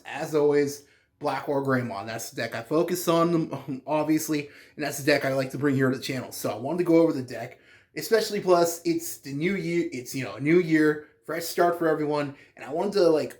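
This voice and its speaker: A congested man with a slightly high voice hosts his card game channel. He rambles in a loose, chatty way, full of fillers and false starts, and his stuffiness stays audible throughout. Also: a little mellow. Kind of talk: casual deck profile vlog.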